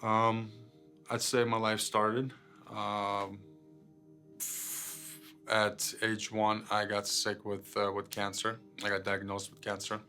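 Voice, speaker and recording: A young man from Ukraine telling his life testimony unscripted, halting with many fillers and repeated words. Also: lowish voice, completely flat delivery, pushed and bright, soft background music. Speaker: guest sharing his testimony. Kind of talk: personal testimony, unscripted storytelling.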